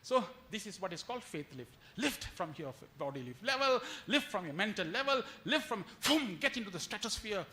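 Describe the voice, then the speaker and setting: A man's voice: high-pitched, very animated, brisk, hardly any pauses, bright, forceful tone. A man giving a devotional lecture as a retreat speaker, telling stories with acted-out quotes and rhetorical questions and repeating phrases for emphasis.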